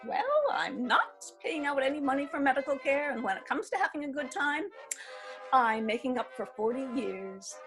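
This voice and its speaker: An actress reading the part of a defiant seventy-one-year-old divorcee who laughs at old age, in a readers theatre play. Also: female voice, sits high, normal speed, a bit bright, faint music behind, rough recording. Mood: gleeful. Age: 70s. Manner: laughing, brash and amused. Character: spirited elderly divorcee, mischievous and defiant. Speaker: actor.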